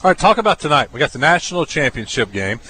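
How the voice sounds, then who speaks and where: A man's voice: a little high, big pitch swings, fast talker, pushed and bright, rough recording. A man hosting live sports radio on location at a restaurant bar, friendly and chatty. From Texas, raised in Arkansas. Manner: friendly, chatty, laughs easily.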